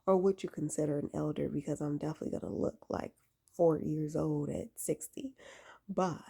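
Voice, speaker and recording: Low, husky, gravelly young woman. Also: steady tone, brisk, warm tone. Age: late 20s. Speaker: vlogger giving faith-based lifestyle advice. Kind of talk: casual talk to camera, exhorting.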